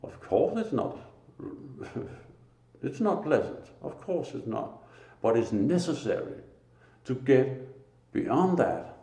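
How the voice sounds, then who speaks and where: A man with a Danish accent giving a slow, earnest, informal spiritual talk, pausing often. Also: medium pitch, big pitch swings, warm tone. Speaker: lay preacher. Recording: echoey room.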